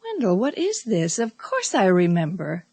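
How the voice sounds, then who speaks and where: A devoted, steely middle-aged wife in a radio drama. Her voice is husky and mid-pitched, with emphatic, theatrical phrasing. It is recorded dry, with hardly any room sound. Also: huge pitch swings, moderate pace, a little mellow, dry recording, full character voice. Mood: teasing, amused.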